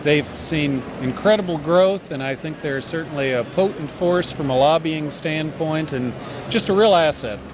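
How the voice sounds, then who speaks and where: A man in charge of hospital public relations speaks in a polished, promotional way, with a touch of dry humor. His voice sits slightly high, and clear expo noise carries behind him. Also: moderate pace. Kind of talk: casual interview. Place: busy indoor business expo.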